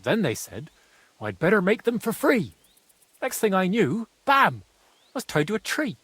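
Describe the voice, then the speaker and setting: A man's voice: high voice, huge pitch swings, a little slow, fairly bright tone, breathy edge, no room sound, heavily acted. An actor playing a naive, simple-hearted young craftsman's apprentice in a video game, artless and hesitant when pressed. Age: young adult. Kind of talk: video game dialogue acting.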